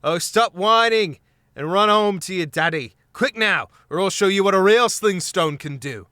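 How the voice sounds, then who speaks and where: Casting: jaunty mouse rogue, haughty and lively, a bit raised, read by a man. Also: sits high, moderate pace, no echo, studio quality, voice pushed up. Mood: threatening. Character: cocky male mouse thief, teasing.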